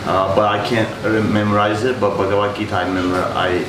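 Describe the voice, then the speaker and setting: Halting Turkish-born man, low voice. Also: flat delivery. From Turkey. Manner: halting, many fillers. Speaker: yoga student. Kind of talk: class introduction. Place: yoga class room. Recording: distant roomy mic with loud background hum.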